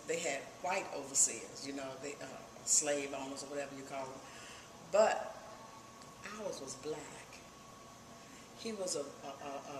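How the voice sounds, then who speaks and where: An older woman from Virginia with a Southern accent, reminiscing emphatically and repeating phrases for weight. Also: low voice. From Virginia, United States. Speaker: oral history interviewee. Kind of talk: personal reminiscence. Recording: echoey room with clear background noise.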